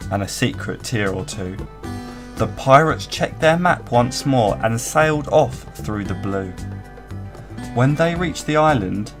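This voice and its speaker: A man giving an expressive scripted reading of a rhyming children's story. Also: medium pitch. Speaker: storybook reader. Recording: faint guitar music behind. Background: soft plucked guitar music.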